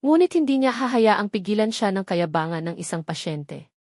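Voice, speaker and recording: A woman's voice: mid-range voice, animated, normal speed, darkish tone, no echo, studio quality. Woman narrating a dramatic romance. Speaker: story narrator.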